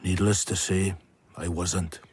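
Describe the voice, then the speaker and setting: A man's voice: low voice, little pitch movement, slow pace, heavy background noise. A man playing a dry, wry storyteller, narrating a radio drama.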